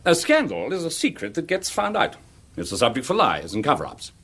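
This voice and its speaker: A man's voice: medium pitch, wildly swooping pitch, fairly quick, fairly bright tone, breathy edge, full character voice. A man playing an urbane, self-assured middle-aged English college principal in a radio drama, with a suave, donnish, rhetorical manner. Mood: suave, pontificating.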